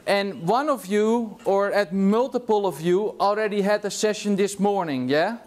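High-voiced Dutch lecturer, chatty. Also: male voice, moderate pace. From Netherlands. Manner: casual, chatty, tags remarks with "yeah?". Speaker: university lecturer. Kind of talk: classroom lecture. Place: large lecture hall.

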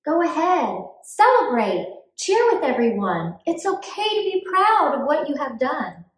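A woman reading a children's book aloud in an expressive, deliberate storytime style for young children. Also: high voice, roomy sound, low-quality recording. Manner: clear, deliberate, animated delivery for young children.

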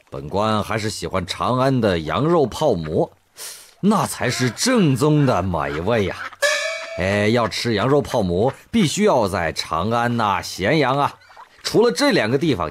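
A male voice actor playing a pompous, playful middle-aged magistrate detective in a comedic radio drama, lecturing in mock-solemn fashion. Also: mid-range voice.

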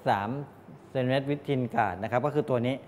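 A middle-aged man lectures to a class in a mid-pitched voice. He explains step by step in a halting way, often adding polite tags. The room rings with long echo, and there is some background noise. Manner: halting, explanatory, with frequent polite tag particles. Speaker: college lecturer in medical electronics. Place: medium-sized indoor classroom with wooden desks. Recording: echoey classroom, distant pickup, background noise.

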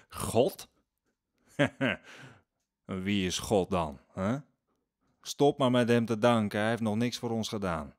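An actor playing a rough, scornful, godless eighteenth-century peasant husband and father in an audio drama, with a gruff, curt manner. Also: lowish voice, slow, long pauses. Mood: scornful, amused. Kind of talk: Dutch audio drama acting.

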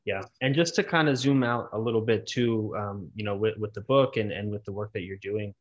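A man with a lowish voice hosts a podcast, talking quickly and peppering his questions with fillers. The sound is dry and close, with no room to it. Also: no room sound, muffled recording. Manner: quick, with many fillers, asks long questions. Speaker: podcast host. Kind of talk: casual interview chat.

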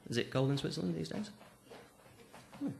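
A young man talks to a youth group in a small church hall, holding a handheld mic. His voice sits a little high, and he keeps a playful, joking tone like a game-show host. A noticeable hiss and room noise run underneath. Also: animated, a little fast, vocal fry, darkish tone. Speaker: youth leader. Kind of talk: lively talk to a youth group. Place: small church hall with stage and drum kit.

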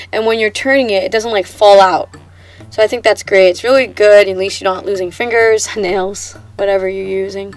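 A young woman who sounds stuffed up from a cold chats her way through a recipe in her home kitchen. She talks loosely, full of slang and filler words. Faint music plays under her voice. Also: fairly high pitch. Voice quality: chatty, laid-back, sprinkles in slang and fillers. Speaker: cooking vlogger. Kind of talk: casual cooking tutorial.

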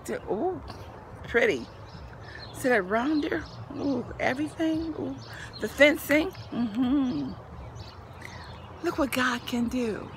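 A woman's voice: high-pitched, takes it slowly, pauses often, a little hiss or hum. An older woman who says she is losing her voice gives an upbeat, preachy tour of her backyard garden, filmed outdoors on a handheld phone. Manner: lively, preachy encouragement with church phrases. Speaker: vlogger. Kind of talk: casual home video tour.

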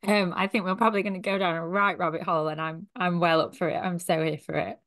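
A woman's voice: fairly low pitch, quick pace. A woman in her thirties from Sheffield with a Yorkshire accent, chatting animatedly as a guest on a video call from a small home office. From Sheffield, South Yorkshire, England. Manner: chatty, animated, gestures with her hands as she talks. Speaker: guest, human design coach. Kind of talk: casual interview chat. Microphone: headphones on a video call.

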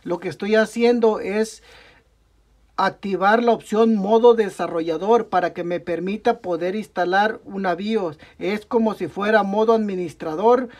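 A man's voice: high voice, a little mellow. Mexican man talking through a repair. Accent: Mexican Spanish. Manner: talks through each step while working, frequent "ok, amigos" and self-corrections. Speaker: tech tutorial host. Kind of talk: step-by-step repair tutorial. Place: indoor workbench at a desk.

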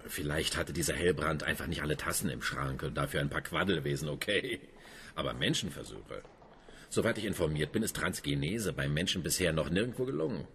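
Deep, gravelly, sceptical police inspector. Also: male voice, lively intonation, fairly quick, a bit bright, a little hiss or hum, heavily acted. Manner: patronizing, dry, soothing. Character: sceptical, patronizing male police inspector, calmly dismissive. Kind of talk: radio drama dialogue.

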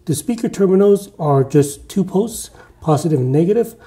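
A mellow-voiced man in his forties talks through a speaker review at an easy pace, with plenty of uh's and restarts. He's filmed at chest height in a bare, echoey living room with no carpeting. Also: high-pitched, moderate pace. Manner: relaxed, chatty, with frequent uh fillers and restarts. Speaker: audio reviewer. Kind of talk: casual product review.

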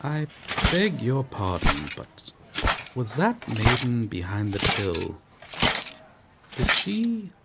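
Man acting a gallant, slow-spoken medieval knight. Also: medium pitch, huge pitch swings, creaky, very noisy, exaggerated voice. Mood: puzzled, resolute. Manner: formal, archaic, theatrical phrasing. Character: gallant, proud, courteous medieval knight, male, out of his time. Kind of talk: ASMR roleplay acting.

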